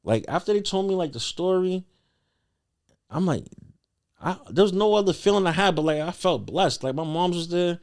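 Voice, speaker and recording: A man's voice: high voice, lively intonation, moderate pace, a little mellow. A young man telling a personal story as a podcast guest in a casual, slangy, earnest conversational way.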